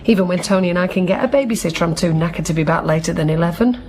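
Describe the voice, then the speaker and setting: An actress playing a concerned, busy married sister in a radio drama, chatty and coaxing. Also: female voice, fairly low pitch, very fast, darkish tone, lightly acted. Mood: weary, friendly. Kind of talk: naturalistic radio drama acting.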